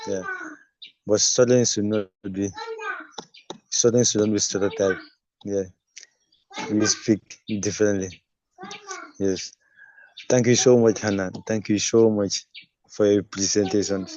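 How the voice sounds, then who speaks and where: A man who stutters, speaking haltingly on a video call during a webinar. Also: fairly low pitch, fairly even intonation, darkish tone. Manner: stutters, halting with many pauses. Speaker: webinar participant. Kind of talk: spontaneous comment. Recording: dry muffled video call audio.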